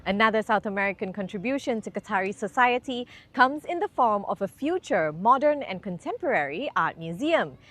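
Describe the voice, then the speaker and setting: A woman reporter reading scripted narration for a television feature in a polished, clearly phrased way. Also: medium pitch, expressive, a little fast, few pauses, fairly bright tone. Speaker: presenter and reporter.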